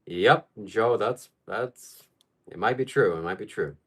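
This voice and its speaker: A man's voice: on the low side, measured pace, fairly bright tone, slightly rough. A man from Ukraine hosting a live stream, answering viewer questions in casual, blunt and opinionated chat.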